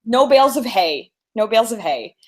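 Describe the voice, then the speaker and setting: An American woman co-hosting a podcast, telling anecdotes in a casual, animated way and laughing easily as she talks. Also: fairly high pitch, brisk. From born in Mobile, Alabama; grew up on the US East Coast. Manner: animated storytelling, laughs easily while talking. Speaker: podcast co-host.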